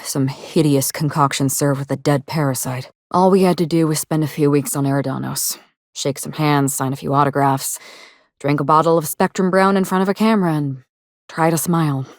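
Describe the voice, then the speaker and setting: A woman playing a guarded, world-weary actress in a video game, speaking in a measured, dry, wry way. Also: deep voice, steady tone, dry recording. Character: guarded, world-weary actress investigating her sister's murder. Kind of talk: scripted game dialogue.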